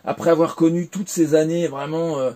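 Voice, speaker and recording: A man's voice: fairly high pitch, fairly even intonation, moderate pace, dark tone. A French man in his forties, recounting his career in a casual interview, hesitant with many fillers and restarts. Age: 40s. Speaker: interviewee, former game developer.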